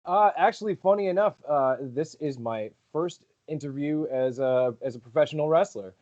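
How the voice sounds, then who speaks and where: A man's voice: on the high side, normal speed, darkish tone, highs cut off. A man from Rhode Island, a professional wrestler, answering interview questions in a friendly, polite way with easy laughs. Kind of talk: casual interview.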